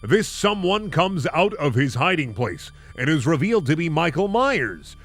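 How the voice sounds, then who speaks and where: Animated higher-voiced man reading bad fan fiction. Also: moderate pace. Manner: incredulous, sardonic asides. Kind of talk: comedic narration with sarcastic commentary.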